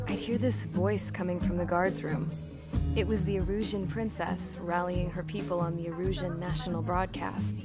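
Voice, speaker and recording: A woman's voice: on the low side, natural voice. An actress playing a tough, foul-mouthed female prisoner mechanic in a video game, narrating cutscenes with blunt, wry slang. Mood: wry, admiring. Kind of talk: scripted first-person cutscene narration. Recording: loud music under the voice. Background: loud game soundtrack music.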